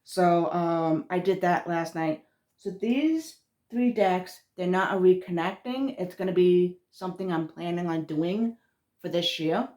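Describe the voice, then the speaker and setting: Low-voiced woman with a Massachusetts accent. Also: measured pace, darkish tone. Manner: rambling, trailing off, restarts words. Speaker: spiritual vlogger. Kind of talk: casual rambling chat.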